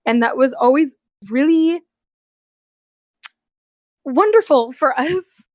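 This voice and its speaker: Higher-voiced woman chatting and storytelling casually. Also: lively intonation, slow pace, no echo, clean studio sound. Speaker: guest, costumed theme park character performer. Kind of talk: casual chat, storytelling with swearing. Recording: amateur recording with bad audio.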